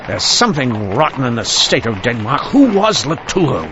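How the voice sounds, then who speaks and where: An actor playing a brisk, dogged Victorian police inspector in an old-time radio mystery, deliberate and formally courteous. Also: male voice, medium pitch, big pitch swings, crowd noise. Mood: brooding, wry. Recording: muffled radio drama recording.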